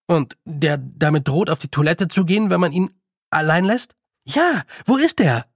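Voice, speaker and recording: A man performs a young girl for an audiobook. She is determined and careful, speaking with dry insistence. The pitch is lifted and the tone a little husky, in a very dry recording. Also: moderate pace, dry recording. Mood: insistent. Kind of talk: audiobook character voice.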